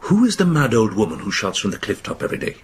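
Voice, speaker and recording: An actor playing a tired, guarded male minister with a husky voice in an intimate radio drama. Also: lowish voice, huge pitch swings, fast talker, a little hiss or hum. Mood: wary.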